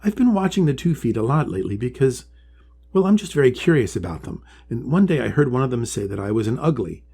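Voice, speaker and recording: A man's voice: mid-range voice, sing-song, fast, gravelly, mellow, husky, pitched above the performer's own voice, heavily acted. A man voicing a curious, earnest young female horseshoe crab in a storybook, full of polite questions. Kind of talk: storybook character voice.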